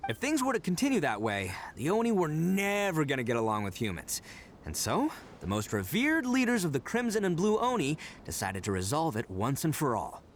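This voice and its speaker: A man's voice: on the high side, huge pitch swings, brisk, a little hiss or hum. A man voicing a boisterous, proud young male Oni gang leader in a game cutscene, given to hearty laughs. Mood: storytelling. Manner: boastful, hearty laughs, earnest when serious.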